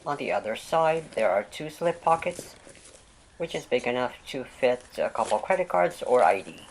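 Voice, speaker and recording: A vlog host narrating a product unboxing with a voice left raspy by a lingering cold. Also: medium pitch, slight background noise, poor audio.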